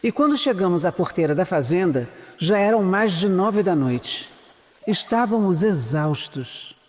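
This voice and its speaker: A woman playing a shrewd, seasoned Brazilian investigator in an audio drama, serious and measured. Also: lowish voice, lively intonation, a little mellow, long reverb tail, a little hiss or hum. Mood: grave, measured. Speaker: lead actor and first-person narrator. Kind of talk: audio drama acting and scripted narration.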